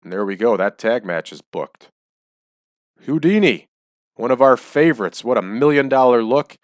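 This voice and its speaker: A man's voice: medium pitch, animated, moderate pace, no echo. A man hosting a casual game playthrough, chatty and explaining his choices as he goes, his voice a little off and dry from the air in his home. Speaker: let's-play host. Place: small home room.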